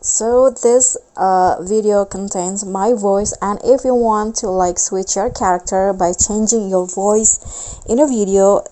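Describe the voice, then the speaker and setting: A woman hosts a casual tutorial, explaining things step by step in an informal way. Her voice is mellow and soft-edged, at a medium pitch. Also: fairly even intonation, unhurried, poor audio. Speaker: tutorial host. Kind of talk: casual video app tutorial narration.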